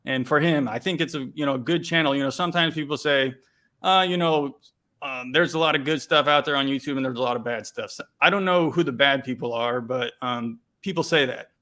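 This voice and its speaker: A man's voice: medium pitch, fairly quick, bright, forceful tone. A man hosting a casual interview, asking questions with many fillers and restarts. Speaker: interview host.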